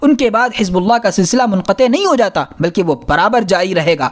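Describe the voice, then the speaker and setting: A woman reading a scholarly religious audiobook in a measured, formal manner. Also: medium pitch, animated. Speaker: audiobook reader. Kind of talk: scripted narration of a scholarly religious book.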